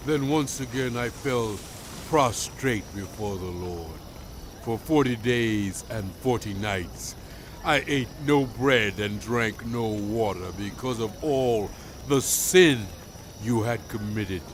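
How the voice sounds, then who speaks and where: A man's voice: mid-range voice, a little hiss or hum. A male actor playing a stern prophet addressing his people in a dramatized scripture reading, with grave, emphatic oratory. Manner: grave, deliberate, emphatic phrasing.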